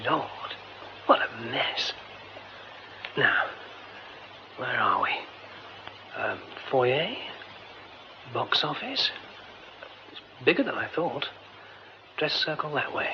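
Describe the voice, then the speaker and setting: An actor playing a polite, excitable, educated theatre man with a British accent and an expressive manner in a radio drama. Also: mid-range voice, slow pace, pauses often. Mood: musing. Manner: expressive, muttering exclamations to himself. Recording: dull, noisy radio recording.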